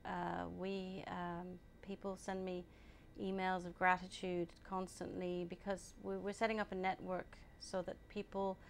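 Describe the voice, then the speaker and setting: A woman born in England, answering interview questions at a steady, measured pace and often pausing on ums. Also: lowish voice, slight background noise. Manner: measured, hesitant with many ums. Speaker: guest, group founder.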